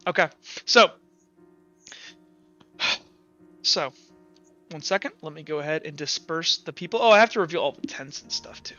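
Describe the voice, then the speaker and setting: Male game master, informal and explanatory, high register, dull lo-fi roomy sound with faint music behind. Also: animated, moderate pace, pauses often, bright, forceful tone. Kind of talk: casual talk running an online tabletop roleplaying game.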